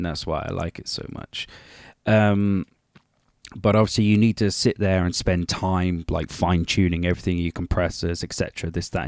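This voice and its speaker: A man's voice: low voice, warm tone, dry recording, studio quality. A man presenting a casual, unscripted music production tutorial, rambling hesitantly and a little self-deprecating.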